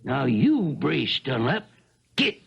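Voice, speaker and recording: An actor playing a stubborn, righteous old country uncle, blunt and scolding in rustic speech, in a radio drama. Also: male voice, fairly high pitch, huge pitch swings, slow pace, creaky, slightly rough, heavily acted. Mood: furious, threatening. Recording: muffled 1970s radio broadcast.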